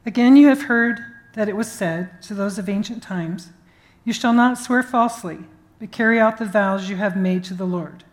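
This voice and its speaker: Mid-pitched woman doing a scripture reading. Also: normal speed, low-quality recording. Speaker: scripture reader. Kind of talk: read-aloud Bible passage.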